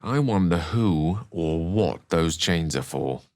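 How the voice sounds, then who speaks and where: An actor playing a bookish, genteel wizard in a video game, articulate and measured, with a wry, theatrical way of talking. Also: male voice, sits low, expressive, darkish tone, dry recording, studio quality. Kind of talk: video game voice acting, narration and dialogue.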